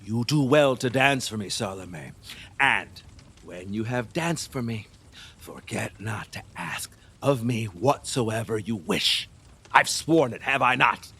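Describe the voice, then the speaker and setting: A man's voice: on the low side, animated, takes it slowly, bright, forceful tone, husky, exaggerated voice. A male actor playing a decadent, superstitious middle-aged king in an audio drama, grandiose and volatile, moving between murmurs and cries. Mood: boastful, indulgent. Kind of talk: theatrical audio drama acting.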